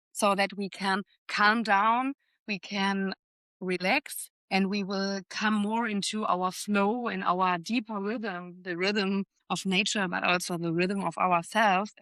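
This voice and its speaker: A young adult woman co-hosting a podcast, talking calmly and reflectively and pausing to search for words. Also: medium pitch, dry recording. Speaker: podcast co-host and forest bathing trainer. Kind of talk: casual podcast chat.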